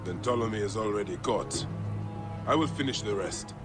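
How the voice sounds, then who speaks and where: An actor playing a grim, grieving Egyptian warrior bent on vengeance in a video game. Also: male voice, fairly low pitch, normal speed, big-room sound, over music, close to an everyday voice. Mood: cold, terse. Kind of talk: dramatic video game acting.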